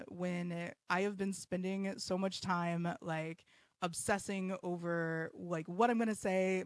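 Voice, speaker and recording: A woman's voice: fairly low pitch, no echo, studio quality. A woman in her thirties hosting her own podcast live from her home basement, talking in a blunt, self-deprecating way and swearing freely. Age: 30s. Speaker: podcast host. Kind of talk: casual live podcast monologue.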